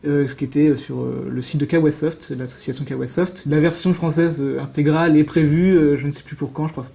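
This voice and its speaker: A Frenchman with a fairly high, mellow voice talks quickly as an interview guest. He explains things casually and keenly, wandering into side remarks as he goes. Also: fairly even intonation, creaky. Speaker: guest presenting visual novels.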